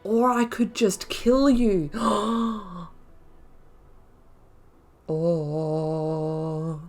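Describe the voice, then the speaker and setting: Expressive, lowish-voiced woman commentating a game. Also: very slow, soft background music. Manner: expressive, thinking aloud, emphatic repetition. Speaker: gaming video host. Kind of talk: casual playthrough commentary.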